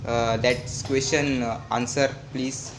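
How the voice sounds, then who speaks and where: A man's voice: mid-range voice, steady tone. Young man, playful vlog host, echoey noisy home recording. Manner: playful, mock-serious, halting with fillers. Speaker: vlogger. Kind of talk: joking family vlog in broken English. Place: family home kitchen. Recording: echoey home room with noise.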